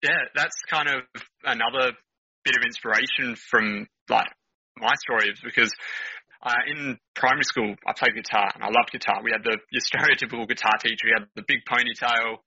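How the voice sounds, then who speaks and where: A man's voice: mid-range voice, fairly even intonation, a little fast, sharp tone, breathy edge, no echo, muffled recording. A man with an Australian accent, a podcast guest chatting informally and telling stories in a casual way. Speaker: podcast guest, counsellor and motivational speaker. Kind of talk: casual storytelling chat.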